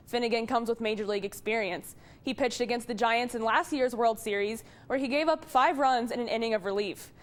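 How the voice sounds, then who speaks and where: Woman doing scripted tv sports news, kinda high voice. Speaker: television sports reporter.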